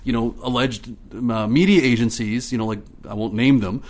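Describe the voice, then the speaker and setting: A man's voice: medium pitch, steady tone, moderate pace, a little mellow. A Canadian man speaking as an interview guest, talkative and good-natured, telling things in an anecdotal, conversational way. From Ottawa, Canada.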